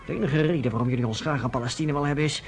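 A man's voice: mid-range voice, rapid-fire, a little hiss or hum, natural voice. An actor playing a bitter, defiant young man in a radio drama. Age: young adult.

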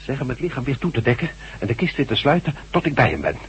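A man acting in a Dutch radio drama as a learned doctor excited by scientific discovery, speaking in an emphatic, lecturing manner. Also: mid-range voice, quick pace, lightly acted. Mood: eager. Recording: echoey, noisy radio play recording.

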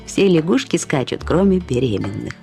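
A woman's voice: low voice, big pitch swings, moderate pace, gravelly, a little mellow, faint music behind, exaggerated voice. A woman voicing an exuberant, flighty young woman in an audio drama, cheeky and dreamy, with a gushing, playful manner.

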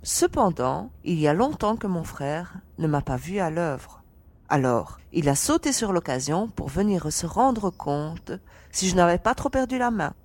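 Woman playing a low-voiced young mercenary leader. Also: very animated, unhurried, darkish tone. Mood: composed, respectful. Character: confident young woman, mercenary defense leader, respectful yet teasing.

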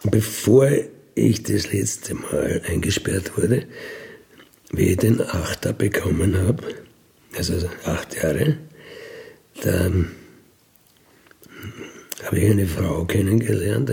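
An older man with an Austrian accent telling a reflective personal story in a halting, colloquial way with little questioning tags. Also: low voice, creaky, warm tone. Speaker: former prisoner telling their life story. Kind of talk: reflective autobiographical monologue.

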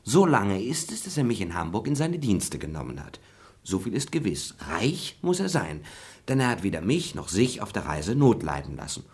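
A man playing a roguish, flirtatious manservant in a classic comedy radio play, husky and theatrical, with a drawling, evasive way of talking. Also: lowish voice, very animated, normal speed. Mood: amused, evasive.

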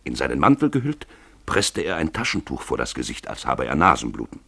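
A man narrating a literary radio play in a theatrical, expressive style, stretching words for emphasis. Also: very deep voice, brisk, vocal fry, breathy edge, no echo.

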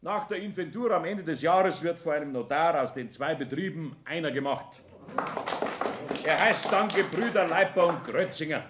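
Pompous builder played by an actor, roomy sound. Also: male voice, on the high side, animated, moderate pace, very noisy, natural voice. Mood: businesslike. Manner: stiff, formal, declamatory. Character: pompous small-town builder giving a formal funeral-meal speech. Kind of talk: formal speech in radio drama. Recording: roomy 1960s radio studio.

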